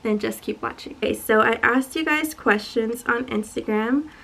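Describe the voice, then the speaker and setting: A woman in her twenties from Dallas, Texas, chatting casually to camera in a get-to-know-me Q&A video, soft-spoken and a little shy. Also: a little high, moderate pace, vocal fry. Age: 20s. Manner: soft-spoken, self-described shy and quiet. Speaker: YouTuber.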